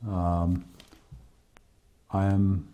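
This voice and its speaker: Indian guy in his 40s, podcast host, low mellow voice, slow and halting, lots of uhs. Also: monotone. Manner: hesitant, many ums and uhs, restarts. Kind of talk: solo podcast introduction, personal story.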